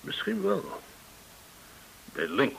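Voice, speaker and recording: An actor playing a courteous, shrewd, well-connected informant in a Dutch radio drama, speaking in a formal, deliberate way. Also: male voice, medium pitch, wildly swooping pitch, vocal fry, fairly bright tone, full character voice, rough recording. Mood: shrewd, probing. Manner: formal, measured, deliberate explaining. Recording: hissy old radio recording.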